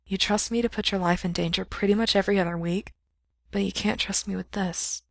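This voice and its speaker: Soft-spoken earnest male doctor as a woman voices him, measured delivery, gravelly low tone, dry close sound. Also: steady tone, no echo. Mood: quiet. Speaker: reader voicing a character.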